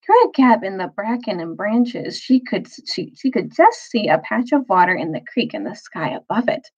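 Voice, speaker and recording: A woman reads a children's novel aloud with lively, swooping intonation. Her medium-pitched voice is a little husky, and she phrases carefully for emphasis. The recording is slightly dull. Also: normal speed. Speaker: storyteller, teacher reading aloud.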